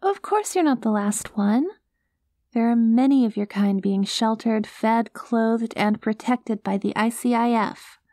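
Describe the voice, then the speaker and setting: A woman voicing a cute, gentle alien girl in an ASMR roleplay, naive and literal, with a hesitant, reassuring manner. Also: on the high side, unhurried, no echo, studio quality, natural voice. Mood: happy, reassuring.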